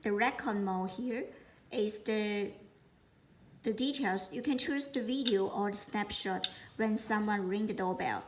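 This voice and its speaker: A woman giving an unscripted product demonstration, speaking haltingly and often repeating words as she explains. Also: mid-range voice. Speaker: product presenter.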